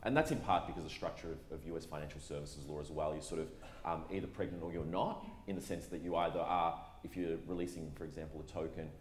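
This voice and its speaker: A very low-voiced man talks through a panel answer, hedging and restarting as he goes. His voice rings a bit in a large, echoey hall, with a faint hiss behind it. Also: steady tone. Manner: measured, many fillers and restarts. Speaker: panelist and moderator. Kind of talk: conference panel discussion.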